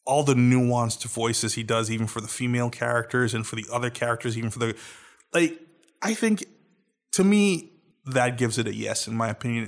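A man co-hosting a podcast, talking casually and thoughtfully, often restarting his sentences as he searches for words. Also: medium pitch. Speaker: podcast co-host.

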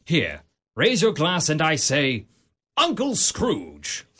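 A man's voice: on the high side, wildly swooping pitch, slow, fairly bright tone, slightly rough, full character voice. A man playing a merry, teasing young host. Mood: warm. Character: jolly young man hosting a parlour game. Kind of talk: 1940s-style radio drama acting.

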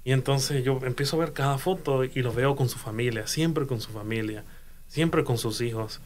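A man's voice: mid-range voice, normal speed, a little hiss or hum. A man from Honduras telling a story in casual conversation, often repeating words as he searches for them. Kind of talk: casual chat.

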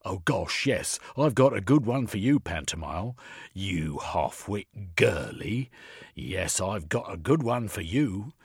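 Storybook inspector read by a man: loud, mocking bully, husky, dry close sound. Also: low-pitched, sing-song, slow, no room sound, full character voice. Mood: contemptuous. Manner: sneering, mocking, shouting. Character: blustering bullying male police inspector.

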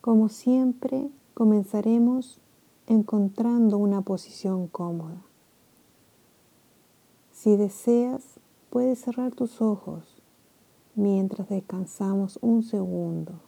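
A woman guiding a sleep meditation in a soft, soothing way, with long pauses between phrases. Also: mid-range voice, gravelly. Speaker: meditation guide.

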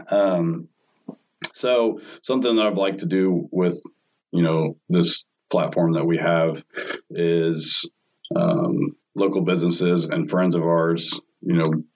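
A man hosting a casual podcast chat, hoarse after losing his voice, joking and swearing freely. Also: deep voice, fairly even intonation, takes it slowly. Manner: joking, profane banter. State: hoarse, lost voice from yelling. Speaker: podcast host. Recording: dull lo-fi sound.

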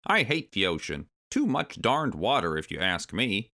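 Man putting on a crotchety, forceful old monkey. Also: fairly low pitch, big pitch swings, normal speed, full character voice. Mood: grumpy. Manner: moaning, crotchety. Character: cranky old male monkey, grumbling, boastful.

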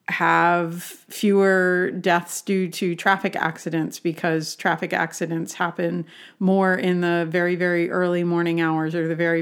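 A woman's voice: fairly low pitch, clear, resonant tone. A woman talking as a health coach, explaining things conversationally with questions and examples.

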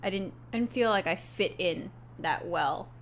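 A woman's voice: on the low side, normal speed, a little hiss or hum. A young woman talking casually about her work, hesitant with small restarts. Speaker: co-host, English teacher. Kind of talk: casual chat.

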